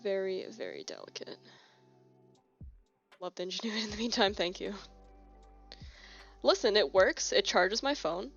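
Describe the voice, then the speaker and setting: Sniffly young woman with gravelly voice. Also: medium pitch, normal speed, long pauses. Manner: rambling, relaxed, many fillers and restarts. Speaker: streamer. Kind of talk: casual live-stream chat while working on keyboard switches. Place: home desk. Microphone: phone on a holder. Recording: slightly dull lo-fi phone mic with faint music. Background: faint music and keyboard clicking.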